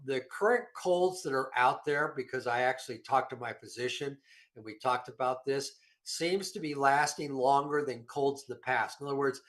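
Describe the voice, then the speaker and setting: A man's voice: medium pitch, a bit bright. A middle-aged man with a slightly nasal voice from a cold, talking casually and with self-deprecating humor about health supplements as a show regular. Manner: rambling, folksy, self-deprecating.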